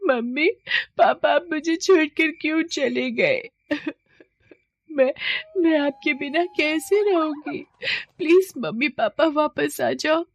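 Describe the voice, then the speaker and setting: A woman's voice: high-pitched, takes it slowly, pitched above the performer's own voice. A woman voicing a grieving young orphaned woman in a moral story, pleading and wailing. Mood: weeping, pleading. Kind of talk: animated moral-story acting.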